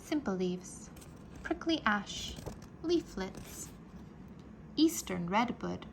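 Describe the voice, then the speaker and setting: A woman reading a children's book aloud like a teacher, expressive and carefully enunciated for young listeners. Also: medium pitch, extremely slow, vocal fry, a little hiss or hum, poor audio. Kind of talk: children's read-aloud narration, rhyming nonfiction.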